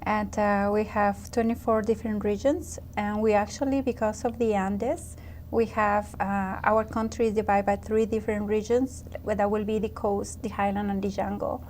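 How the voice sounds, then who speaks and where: A woman's voice: mid-range voice, little pitch movement, moderate pace, hardly any pauses, darkish tone, a little hiss or hum. A woman from Peru whose first language is Spanish, explaining her country as a guest in a friendly, explaining way. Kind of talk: casual interview.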